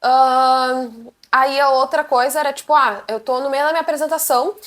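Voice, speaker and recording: Young brazilian girl vlogging, high voice, chatty and animated. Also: normal speed. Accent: Brazilian Portuguese. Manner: animated, chatty, acts out quotes. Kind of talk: casual storytelling to camera.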